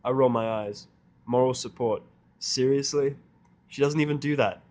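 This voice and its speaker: A man's voice: medium pitch, normal speed, a little mellow. A man narrating a first-person story in character, with a dry, sardonic manner. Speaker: audiobook narrator.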